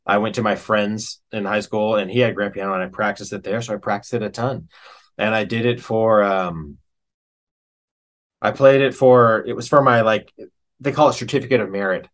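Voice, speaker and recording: A man chatting casually as an interview guest, telling stories in a slangy, animated, self-deprecating way. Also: lowish voice, normal speed. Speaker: interview guest, professional keyboard player and organist.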